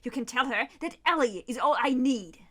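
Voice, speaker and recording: A woman's voice: high voice, lively intonation, normal speed, fairly bright tone. A woman playing an obsessive, possessive and volatile lover with sweet menace in an audio horror drama. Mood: angry, accusing.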